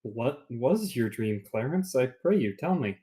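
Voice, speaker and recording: A man's voice: medium pitch, expressive, measured pace, warm tone, lightly acted. A young male actor playing a concerned, inquisitive jailer in a Shakespearean radio play. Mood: concerned, curious. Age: young adult. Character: concerned, inquisitive prison keeper.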